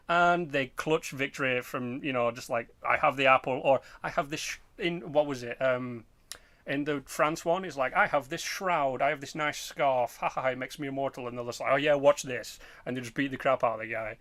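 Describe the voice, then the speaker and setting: A man chatting casually as a podcast host, rambling and halting, with loose swearing. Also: mid-range voice, a bit bright, dry recording. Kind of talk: casual chat about game movies.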